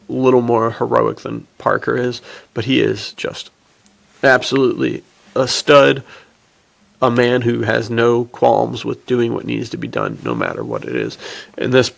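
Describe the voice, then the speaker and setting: A man with a heavily creaky, gravel-edged voice and mid-range pitch talks like a laid-back podcast host. He is slangy and enthusiastic, chatting casually as if with a buddy. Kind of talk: casual chat about films and books.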